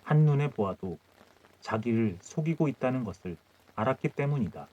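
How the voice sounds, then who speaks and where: A man's voice: medium pitch, expressive, moderate pace, mellow, poor audio. A man narrating a scripted historical folktale as an audiobook storyteller.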